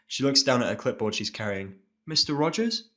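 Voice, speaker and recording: A man's voice: on the low side, fast, fairly bright tone. A man narrating a scripted first-person story as an audio drama reader.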